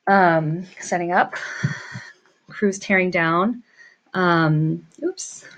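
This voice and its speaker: A woman's voice: fairly low pitch, drawn-out words, poor audio. A woman giving an informal tutorial, thinking aloud and muttering to herself as she works through it. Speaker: small business owner teaching pricing.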